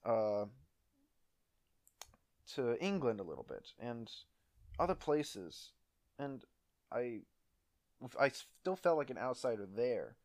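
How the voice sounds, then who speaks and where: Young male podcast co-host from Canada, mid-pitched, very lively, joking rants. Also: slow, pauses often. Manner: animated, laughs often, jokey rants. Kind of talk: casual chat.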